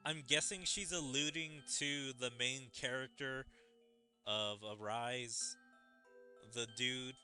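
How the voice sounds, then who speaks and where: Guy doing casual game commentary, slow n thinking out loud, soft game music behind. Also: mid-range voice, steady tone. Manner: musing, speculative. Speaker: gaming video creator playing a story event.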